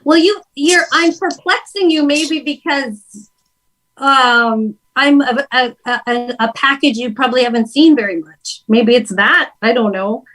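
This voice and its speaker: A woman's voice: sits high, unhurried, a bit bright, poor audio. A woman with a Canadian accent chatting casually and giving her opinions in a blunt, emphatic way. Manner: blunt, emphatic, mildly profane. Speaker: guest on a livestream talk show. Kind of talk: opinionated casual political chat.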